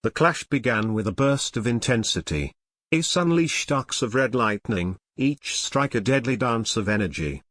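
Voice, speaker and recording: A man's voice: medium pitch, lively intonation, moderate pace, a bit bright, no room sound, clean studio sound. A man narrating an audio drama in a scripted, dramatic storytelling style.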